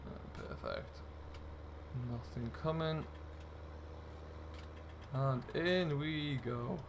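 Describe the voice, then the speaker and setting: A man's voice: on the high side, wildly swooping pitch, very slow, creaky, noisy background. A man hosting a gaming video, giving casual role-play commentary as he plays a farming simulator. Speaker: host of a gaming video series.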